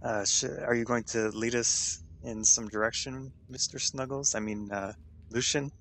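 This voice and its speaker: A man's voice: medium pitch, fairly even intonation, moderate pace, fairly bright tone, a little hiss or hum. A man playing a tabletop role-playing game, casually asking questions.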